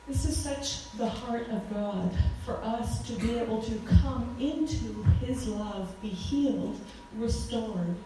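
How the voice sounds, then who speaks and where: A woman in her forties with a loud voice, giving a fervent talk as a guest speaker at a church, with frequent ums. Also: a little high, noisy background. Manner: earnest, fervent, with many ums. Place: church hall. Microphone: handheld microphone. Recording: echoey hall from far off.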